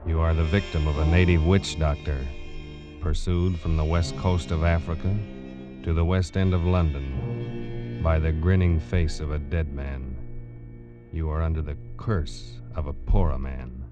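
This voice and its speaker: A man announcing a vintage radio drama in an ominous, theatrical, deliberate manner. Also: very deep voice, steady tone. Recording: muffled vintage radio broadcast. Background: loud dramatic music.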